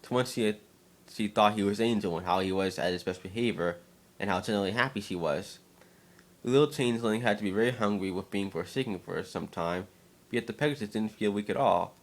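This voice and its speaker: A man with a fairly low voice serves as narrator. He gives a dramatic reading of fan fiction in a slightly halting delivery.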